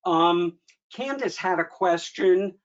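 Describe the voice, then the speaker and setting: A man speaks at a middle pitch through dull, lo-fi video call audio. He moderates the meeting, thanking speakers and passing the floor in a brief, courteous way. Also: animated, takes it slowly.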